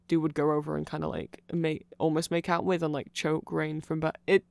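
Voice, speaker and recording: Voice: mid-range voice, flat delivery, fairly quick, gravelly, darkish tone, dry recording. Dry, rambling close-up ASMR explainer. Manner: rambling, informal, full of asides. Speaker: ASMR audio creator.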